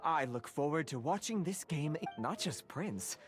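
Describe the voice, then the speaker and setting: A man's voice: on the high side, expressive, unhurried, loud music behind the voice. A man voicing a courteous, composed tavern game host in an anime game, with a polite and formal manner.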